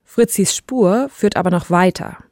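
A woman's voice: on the low side, unhurried, darkish tone, dry recording, clean studio sound. A woman hosting and reporting in a conversational investigative podcast narration style. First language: German.

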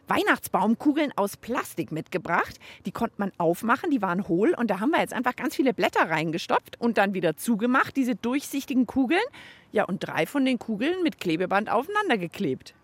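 A woman's voice: a little high, very animated, a little fast, husky, dry recording. A woman co-hosting a children's science show, explaining things in a friendly way and talking directly to the kids. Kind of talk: casual presenting for children.

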